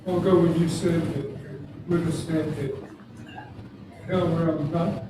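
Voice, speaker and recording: A man's voice: a little high, little pitch movement, slow. Distant echoey man asking questions. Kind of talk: casual questioning in a class. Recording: distant, echoey room with clear noise.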